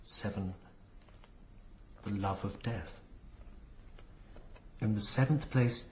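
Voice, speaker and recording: An actor playing an earnest, brooding young nobleman in a radio drama, solemn and halting in manner. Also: fairly low pitch, a little mellow, a little hiss or hum. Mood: solemn reading.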